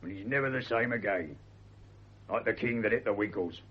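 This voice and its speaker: An actor playing a gruff, superstitious Cockney manservant in a radio drama, blunt and grumbling, with a husky voice. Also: male voice, on the low side, brisk. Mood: wary, grumbling. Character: gruff, superstitious working-class manservant, wary and cheeky. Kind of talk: British radio drama acting. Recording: dull, lo-fi radio recording.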